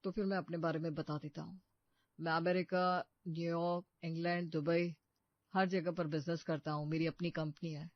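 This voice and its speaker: A man's voice: on the high side, flat delivery, normal speed, no room sound, close to an everyday voice. A man playing a protective, scheming young brother in a cartoon story, with an assured, earnest delivery. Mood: smooth, boastful. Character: protective, scheming young working-class brother posing as rich suitor. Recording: muffled dry studio recording.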